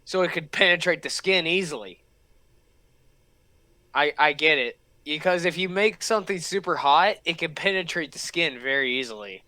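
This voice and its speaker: A host of uncertain sex giving casual reaction commentary, repeating remarks in a drawn-out way. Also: medium pitch, lively intonation, bright, forceful tone, no room sound.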